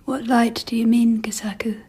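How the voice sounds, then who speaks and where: An actress playing a dignified, reflective empress in a radio drama, telling her memories in a measured, hushed, breathy voice. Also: a little high, level tone. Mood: reflective, composed. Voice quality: very breathy, often near whisper. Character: dignified, reflective empress recalling mysterious events.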